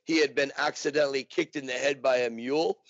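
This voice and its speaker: A man's voice: mid-range voice, fairly even intonation, quick pace, fairly bright tone, no room sound. A man telling rambling, joking stories as a guest in a casual interview. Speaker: tour guide, documentary subject. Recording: dry, muffled remote audio.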